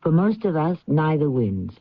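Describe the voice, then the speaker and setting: A male radio drama host delivers scripted narration with portentous deliberation. His fairly high voice rises and falls dramatically, on a dull lo-fi old recording. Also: very animated, moderate pace, slightly rough.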